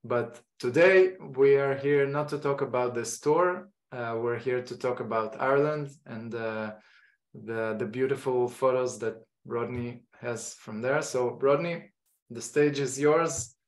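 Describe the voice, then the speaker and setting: A man with an Israeli accent hosting an online talk, speaking haltingly with many fillers and restarts. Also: medium pitch. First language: Hebrew. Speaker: host. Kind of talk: online lecture introduction. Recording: echoey room.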